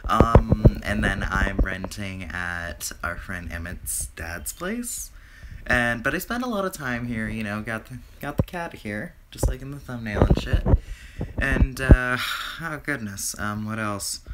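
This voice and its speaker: A man whose voice is raspy from a recent flu, rambling through a casual video update with lots of fillers and restarts. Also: lowish voice, expressive, measured pace, heavy background noise. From Canada. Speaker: vlogger.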